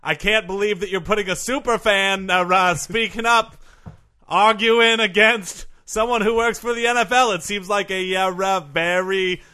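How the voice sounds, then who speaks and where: A man's voice: high-pitched, fairly even intonation, moderate pace, pushed and bright. A man voicing a fired-up male sports superfan with a Boston accent, stammering and defensive. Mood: aggrieved. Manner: stammering, defensive, full of fillers.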